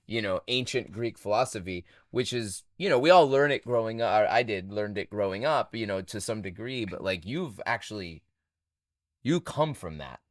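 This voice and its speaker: A man's voice: lowish voice, lively intonation, normal speed, no room sound, studio quality. A man hosting a podcast in casual interview chat, with an informal, rambling, encouraging way of talking. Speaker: podcast host.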